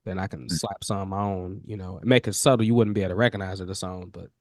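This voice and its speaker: A man with a nasal, somewhat low voice guides a student through audio mixing on a video call. He talks in an easygoing, encouraging way, often repeating words. The sound is dry and close, with no room echo. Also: fairly even intonation, quick pace. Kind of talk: casual one-on-one tutoring on a video call.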